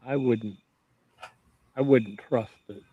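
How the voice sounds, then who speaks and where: A man's voice: mid-range voice, takes it slowly, slightly rough, phone-quality sound. A man chiming in on a casual livestream with short, dry, laconic remarks.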